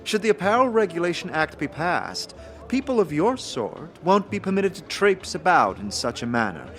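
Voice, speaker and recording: Man as stern older noblewoman, audio drama, disapproving, formal, highish male pitch, lively intonation. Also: moderate pace, faint music behind. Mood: haughty, scornful. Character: stern, haughty older noblewoman in fine dress.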